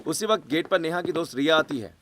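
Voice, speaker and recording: A man's voice: a little high, lively intonation, fast talker, a bit bright, slight background noise. A man reading out scripted story narration.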